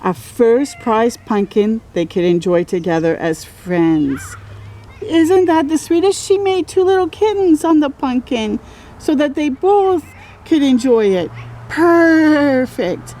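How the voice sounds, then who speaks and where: Slow, swooping woman reading to children. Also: high voice, animated, warm tone. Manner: exclaims and comments encouragingly, drawing out key words. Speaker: children's read-aloud storyteller. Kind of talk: expressive picture-book reading with friendly asides to young listeners.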